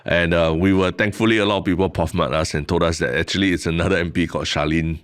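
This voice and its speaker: A man's voice: low voice, fairly even intonation, quick pace, clean tone, dry recording, clean studio sound. A young Singaporean man co-hosting a podcast, telling a long story in a chatty way with lots of "lah" and restarts. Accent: Singaporean English. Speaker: podcast co-host. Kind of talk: casual chat.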